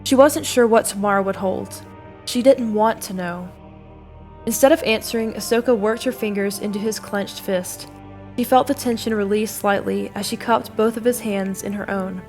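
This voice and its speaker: A woman giving scripted dramatic narration for an audio drama, telling the story in a measured, solemn way. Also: medium pitch, steady tone, a little mellow, faint music behind.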